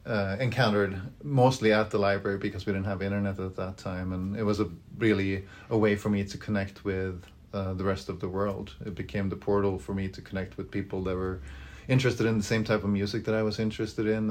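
A man in his thirties with a Swedish accent, answering interview questions. His voice sits low and stays fairly flat. He pauses often and restarts his sentences as he thinks. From Sweden. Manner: thoughtful, hesitant with frequent fillers and restarts. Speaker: library director.

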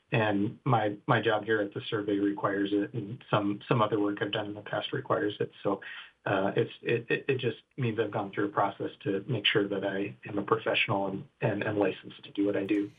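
A man's voice: lowish voice, little pitch movement, a little fast, slightly rough. A middle-aged man from northern Idaho, a geologist guest, talking casually in an interview with many fillers and restarts. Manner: hesitant, many fillers and restarts, soft-spoken. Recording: tinny phone-like line.

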